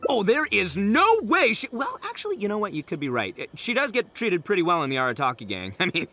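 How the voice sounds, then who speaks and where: A young gang leader from a video game, loud and goofy, with a high, wildly swinging delivery. He blusters in slang and plays everything up theatrically, over prominent music. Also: wildly swooping pitch, a little fast, exaggerated voice. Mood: blustering, uncertain. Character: brash, goofy young male gang boss, loud and dramatic. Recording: music behind the voice.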